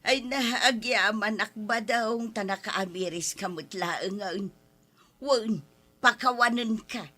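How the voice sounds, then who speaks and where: An actress playing an emotional village wife in a radio drama, with an Ilocano accent and drawn-out, expressive exclamations. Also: female voice, medium pitch. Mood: forgiving, emotional. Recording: loud background music.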